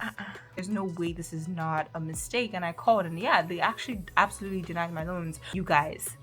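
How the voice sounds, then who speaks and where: Nigerian woman in her twenties, casual vlogger, lowish voice, animated and exasperated, faint music underneath. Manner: animated, laughs often, exasperated asides. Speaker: medical student vlogger. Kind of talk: casual vlog storytelling.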